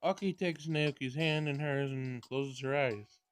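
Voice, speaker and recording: A man's voice: medium pitch, measured pace, clean tone. A man doing a let's play of a visual novel, reading dialogue aloud with casual commentary and stumbling over words as he goes. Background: game music.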